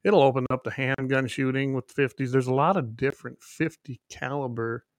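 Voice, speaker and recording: A man's voice: mid-range voice, steady tone, a little fast, no echo, studio quality. A man, co-hosting a casual podcast over a glitchy online call, rambling with restarts and fillers. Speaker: podcast co-host. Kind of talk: casual remote podcast chat.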